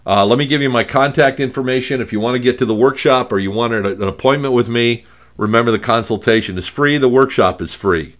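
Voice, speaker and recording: A man with a gravelly voice, hosting a talk show and speaking in a halting way with many fillers and restarts. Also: medium pitch. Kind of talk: conversational radio talk.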